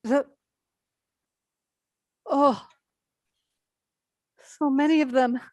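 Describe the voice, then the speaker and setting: A woman's voice: high-pitched, fairly even intonation, very slow. A woman playing a shaken survivor of civilization's collapse in a staged play reading. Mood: stunned.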